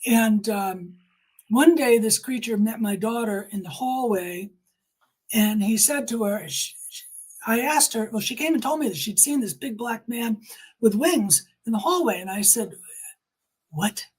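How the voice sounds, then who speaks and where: A woman's voice: on the high side, expressive, unhurried, darkish tone, breathy edge, rough recording. A middle-aged woman giving earnest, emphatic personal testimony in conversation, quoting people as she tells her story.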